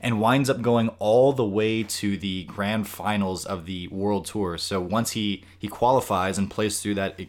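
A man with a slightly low voice co-hosts a podcast in casual chat. He thinks aloud, restarting phrases as he works out his points. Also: fairly even intonation, measured pace, few pauses. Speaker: podcast co-host.

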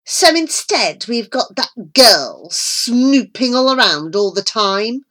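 Lady putting on a bossy snappy housekeeper, high and sharp, all up and down. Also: very animated, slow, exaggerated voice. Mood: snapping. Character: harsh, bossy woman who runs the house.

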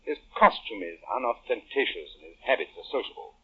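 An actor playing a brisk, cheerfully theatrical male detective in a 1940s radio drama, crisp and musing aloud. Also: medium pitch, lively intonation. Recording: dull old radio broadcast.

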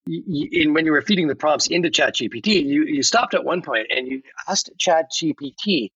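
A man's voice: a little high, expressive, brisk, gravelly, a bit bright, slightly rough, no room sound, highs cut off. A man speaking conversationally as a guest in a podcast interview.

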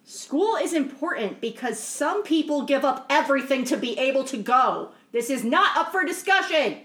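A woman's voice: sits high, expressive, normal speed, a bit bright, reverberant. A woman playing a tabletop roleplaying game, speaking firmly and insistently, partly in character as her bard. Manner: firm, insistent. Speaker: player.

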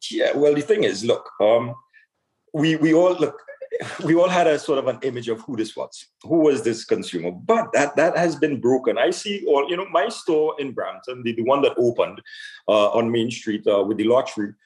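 A man from Trinidad and Tobago answering interview questions conversationally as a store owner, haltingly, repeating words as he searches for phrasing. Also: mid-range voice, expressive, a little mellow, low-quality recording.

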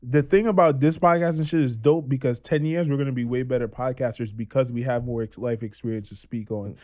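A man in his twenties hosting a podcast, telling stories in a casual, animated way. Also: medium pitch, brisk. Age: 20s. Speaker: podcast host.

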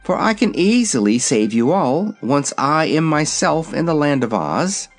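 A man's voice: a little high, big pitch swings, unhurried, faint music behind, pitched above the performer's own voice. A man voicing a cheerful, confident young girl in a fairy-tale story. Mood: reassuring. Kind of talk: storybook character voice.

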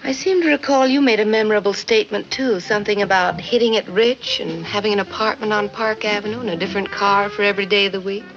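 A woman's voice: mid-range voice, steady tone, fast talker, few pauses, a bit bright, natural voice. An actress playing a sarcastic wife who mocks her meek husband, in 1950s film noir style. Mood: mocking. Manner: mocking, cutting, sweetly sarcastic. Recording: dull old film soundtrack with score. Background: film music.

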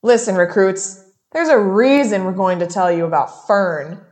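An actress playing a blunt, dry government enforcer who speaks informally to recruits in an audio drama. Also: female voice, medium pitch, normal speed, darkish tone, natural voice. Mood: dry, impatient.

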